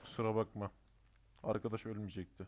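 A man's voice: fairly low pitch, little pitch movement, a little fast, darkish tone, slight background noise. A man chatting casually in an online roleplay game, making crude mock threats with plenty of swearing.

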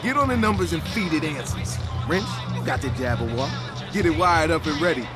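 A man's voice: fairly high pitch, lively intonation, moderate pace, natural voice. An actor playing an easygoing young American hacker who leads his crew, speaking in casual slang. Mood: excited. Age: young adult. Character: young male hacker, easygoing, encouraging group leader. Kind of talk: video game cutscene acting. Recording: music behind the dialogue.

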